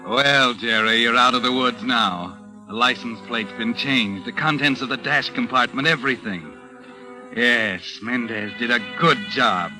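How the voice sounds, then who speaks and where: A man playing a sardonic, all-knowing narrator in a 1940s radio mystery, speaking in a knowing, deliberate way. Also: mid-range voice, close to an everyday voice. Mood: knowing, ominous. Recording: old radio recording with music behind.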